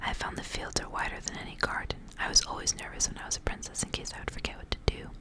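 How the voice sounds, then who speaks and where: A calm young goose girl, once a princess, played by a woman reader, speaks in a breathy whisper. Her storybook lines come quietly and steadily. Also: fast talker, lightly acted. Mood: calm, accepting.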